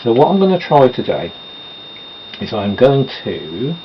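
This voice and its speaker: A man's voice: medium pitch, big pitch swings, measured pace. A man chatting casually and meanderingly at home while doing his makeup, with self-deprecating asides. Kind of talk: rambling casual chat. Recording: dull lo-fi home recording with noise.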